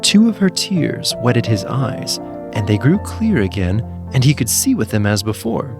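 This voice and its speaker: A man's voice: mid-range voice, very animated, measured pace, vocal fry. A man narrating a scripted fairytale as a storyteller. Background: soft background music.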